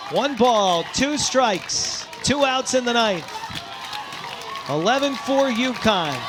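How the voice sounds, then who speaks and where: A male baseball announcer gives live play-by-play in a high, ringing voice. He takes his time between short, emphatic phrases, with a faint bed of sound behind the broadcast. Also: lively intonation, slow pace, over music, crowd noise. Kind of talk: live sports play-by-play commentary.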